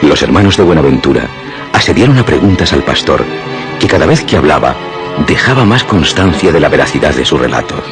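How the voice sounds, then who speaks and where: A man's voice: very deep voice, sing-song, a little fast, exaggerated voice. A man with a Castilian accent acting as the grave narrator of a scripted radio suspense drama. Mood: grave, suspenseful. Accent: Castilian Spanish. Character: grave narrator of a werewolf tale. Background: music.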